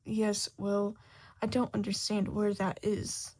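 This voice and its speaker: A woman's voice: mid-range voice, monotone, slow, dark tone. Woman reading as a bewildered, dry-spoken man. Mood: puzzled. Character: bewildered, irritable man lost in a strange dreamlike world.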